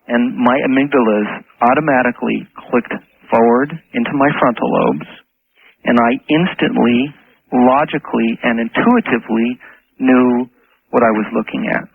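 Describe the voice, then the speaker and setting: Phone-line audio, man, radio call-in guest, husky and creaky, earnest, halting, emphatic repeats, explanatory. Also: mid-range voice. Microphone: telephone.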